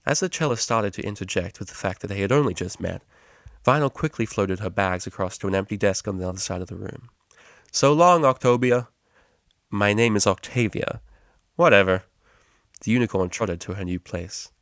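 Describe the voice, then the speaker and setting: A man's voice: sits low, fast talker, gravelly, no echo, clean studio sound. A man reading a story aloud as scripted audiobook narration. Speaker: amateur audiobook reader.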